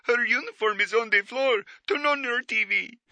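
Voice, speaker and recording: A man's voice: very high voice, moderate pace, gravelly, bright, forceful tone, dry recording, pitched above the performer's own voice, heavily acted. A man voicing a shaken female housemaid with an urgent, nervous way of speaking. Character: shaken young housemaid, woman.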